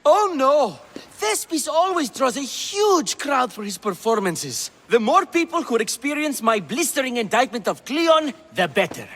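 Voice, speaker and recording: An actor playing a self-important, fretful Greek playwright in a video game, with a theatrical, fussy delivery. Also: male voice, very high-pitched, sing-song, a little slow, fairly bright tone, in a large hall, exaggerated voice. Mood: fretting, alarmed.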